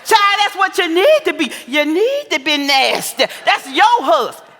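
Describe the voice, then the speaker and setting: A woman's voice: very high-pitched, sing-song, a bit bright, heavily acted. A woman on stage playing a bawdy, boisterous older mother who schools her grown daughter, sassy and preachy in a big theater hall. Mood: gleeful, bawdy. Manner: sassy, preachy, exclamatory, drawn-out emphasis. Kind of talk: broad comedic stage play acting. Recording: echoey theater hall with long reverb.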